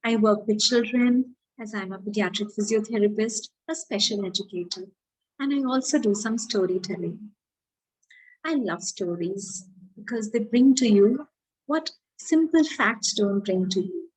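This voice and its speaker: A woman with an Indian accent who speaks deliberately, as a children's storyteller addressing young listeners expressively. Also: medium pitch, a little mellow, poor audio. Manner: slow, deliberate, child-directed delivery with drawn-out words. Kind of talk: expressive read-aloud for children.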